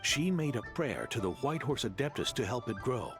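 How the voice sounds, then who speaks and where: A man's voice: mid-range voice, sing-song, moderate pace, music in the background, close to an everyday voice. An actor playing a genial, devout old grandfather who runs a guest house, speaking in a hearty, courteous way in a video game. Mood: proud, devout. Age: older. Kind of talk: video game character dialogue.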